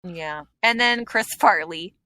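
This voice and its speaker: A woman's voice: on the low side, slow, pushed and bright, dry recording. A woman comedian chatting as a guest on a podcast, reminiscing with plenty of fillers. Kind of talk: casual podcast interview.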